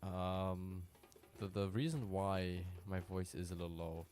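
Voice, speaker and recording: A man's voice: low-pitched, fairly even intonation, measured pace, darkish tone. A tired man streaming a hunting game live, chatting casually and talking to his viewers by name. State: tired from little sleep. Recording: clear music bed behind voice. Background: music playing.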